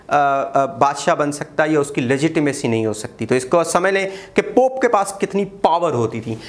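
A man gives an exam-prep history lecture. He gestures a lot, repeats key dates and often pauses with "uh". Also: high-pitched. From Pakistan. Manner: animated, gestures widely, repeats key dates, frequent "uh" fillers. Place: small room in front of a whiteboard. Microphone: lapel mic.

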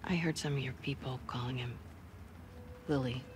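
A low-voiced, guarded young soldier. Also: female voice, fairly even intonation, moderate pace, noisy background. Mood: subdued. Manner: terse, understated. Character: tough, guarded young woman soldier in a game drama. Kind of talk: naturalistic video game cutscene acting.

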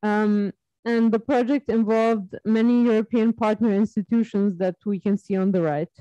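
A woman in her thirties from Serbia, giving an academic webinar talk on her research, measured and full of ums and uhs. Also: mid-range voice, steady tone, warm tone, no echo. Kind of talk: academic presentation. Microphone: video call. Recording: dull lo-fi video call audio.

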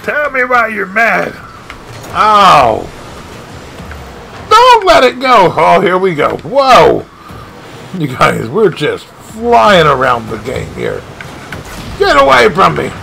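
A man streaming a video game live, giving excitable play-by-play with shouted catchphrases. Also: high voice, takes it slowly, gravelly, long pauses, slight background noise. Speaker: gaming streamer. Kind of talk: live gameplay commentary.

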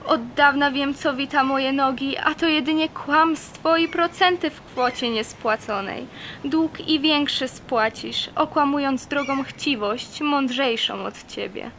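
A woman's voice: high voice, unhurried, fairly bright tone, slight background noise. A young woman acting a sly, scornful creditor and lover, Greed, in an amateur radio play. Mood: scornful, menacing. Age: young adult.

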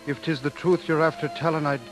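An actor playing a devout, lovesick and wary Irish man in a 1930s radio drama, with a halting, solemn, pleading delivery. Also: a little high, little pitch movement, natural voice. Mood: torn, longing. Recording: dated 1930s radio recording, faint music.

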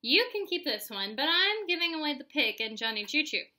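Woman acting out a bossy, high-pitched exasperated mom. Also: sing-song, a little fast, bright, forceful tone, heavily acted. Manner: stern, scolding. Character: exasperated, bossy mother of a young boy.

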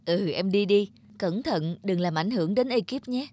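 A woman playing an elegant senior female designer in a cartoon, gently advising. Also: medium pitch, expressive, normal speed, lightly acted. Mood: encouraging. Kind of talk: cartoon dubbing.

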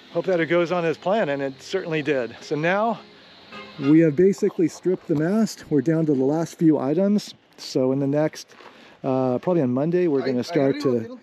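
A man's voice: a little high, very noisy. A middle-aged man walking a boat yard and talking casually to a handheld camera, easygoing and rambling with plenty of fillers. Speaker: vlogger rebuilding a trimaran. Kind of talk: casual walk-and-talk vlog. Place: outdoor boat yard in the sun. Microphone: action camera held at arm's length.

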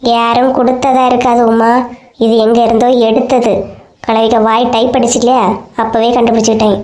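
A woman's voice: a little high, level tone, brisk, darkish tone, natural voice. A woman plays a shrewd cartoon daughter-in-law who grumbles as if sharing secrets. Her delivery is dry and her accent is Kanyakumari Tamil. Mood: conspiratorial. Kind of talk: cartoon comedy acting.

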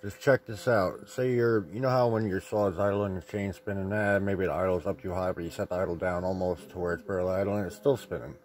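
A man's voice: low-pitched, fairly even intonation, a little fast, few pauses, darkish tone. A man giving a casual hands-on repair tip in a rambling, plain-spoken, mildly profane way. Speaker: chainsaw repair tip presenter.

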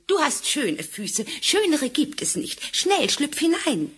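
An actress playing a cruel, ambitious stepmother in a fairy-tale audio play, pushy and scornful, with a husky voice. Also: sits high, very animated, slow pace, fairly bright tone, exaggerated voice. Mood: coldly urging.

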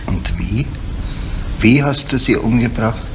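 A man's voice: on the low side, lively intonation, takes it slowly. An actor playing an ageing, restrained detective in a crime radio drama, speaking tersely as an older man. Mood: probing. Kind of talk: naturalistic German radio crime drama acting. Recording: noisy 1970s radio play tape.

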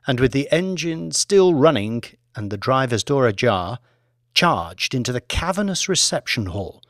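A man reads dramatic audiobook narration. His mid-pitched voice moves expressively through deliberate phrases, with plenty of rise and fall. The sound is close and dry. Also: no room sound.

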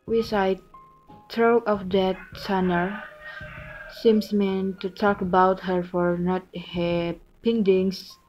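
A woman's voice: mid-range voice, little pitch movement, slow pace, a little mellow, faint music behind, natural voice, rough recording. A young woman playing a loyal, defensive farmer's wife in an amateur student drama. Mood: resentful. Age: young adult.

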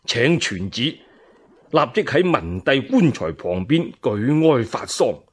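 A man voicing a cautious, scheming court minister in Cantonese, deliberate and formal, in a radio storytelling serial. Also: medium pitch, big pitch swings, breathy edge, full character voice. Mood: decisive, formal.

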